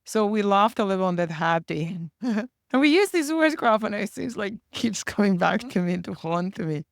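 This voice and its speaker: A non-native English speaker from Serbia with a Serbian accent, chatting casually as a guest in a lively, self-deprecating way. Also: mid-range voice, moderate pace, vocal fry, no room sound, clean studio sound. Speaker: operations team member, guest. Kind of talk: casual conversation.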